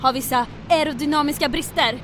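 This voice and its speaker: A woman acting a bold, commanding, unflappable aeroplane captain in an adventure radio drama. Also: fairly bright tone. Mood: brisk, commanding. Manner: brisk, commanding, confident. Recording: aircraft engine rumble.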